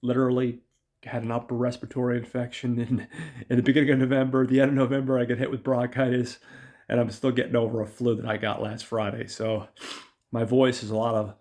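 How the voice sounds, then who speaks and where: Raspy-voiced man hosting a film podcast. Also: mid-range voice, little pitch movement, quick pace, darkish tone. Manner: rambling with many ums and uhs, talks to listeners as guys. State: raspy voice from illness. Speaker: podcast host. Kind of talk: casual film review.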